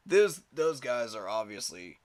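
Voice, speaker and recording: A man's voice: medium pitch, a little mellow. A man hosting a live sports fan stream, talking straight to his chat in an emphatic, pleading way and chuckling at rival fans.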